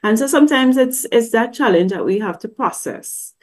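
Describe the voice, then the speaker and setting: A woman's voice: a little high, animated, normal speed, darkish tone, slightly rough. Questioning woman teaching. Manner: conversational, asks many questions, acts out quoted voices. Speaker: grief counselor teaching at a church service. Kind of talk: teaching talk with slides. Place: indoor room. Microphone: webcam on a video call.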